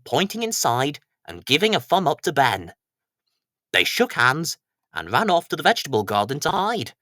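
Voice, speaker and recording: A man's voice: medium pitch, pushed and bright, no echo, studio quality. A man narrating a scripted children's story as storyteller.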